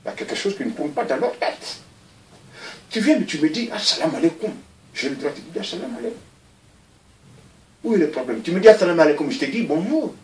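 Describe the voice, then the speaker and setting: A man, giving a recorded interview and talking in an animated, rambling way, often repeating himself. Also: a little high, fast talker, long pauses, reverberant, distant mic, slight background noise, rough recording. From Mali.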